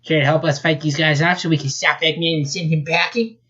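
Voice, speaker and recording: A high-voiced young hedgehog hero, performed by a man reading a story. He sounds cocky and friendly, in a cartoon adventure manner. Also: fairly even intonation, brisk, sharp tone, dull audio. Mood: upbeat, inviting.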